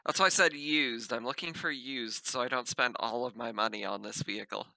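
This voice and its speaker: A man's voice: medium pitch, brisk, creaky, pushed and bright, dry recording, rough recording. A man chatting casually as a player in a tabletop game, matter-of-fact and ready to bargain.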